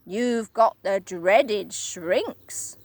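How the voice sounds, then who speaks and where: A woman voicing a nasty old man who taunts slowly and with relish. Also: medium pitch, lively intonation, darkish tone, no echo, full character voice. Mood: taunting. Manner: slow, gloating and emphatic. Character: nasty gloating old man, cruel trickster.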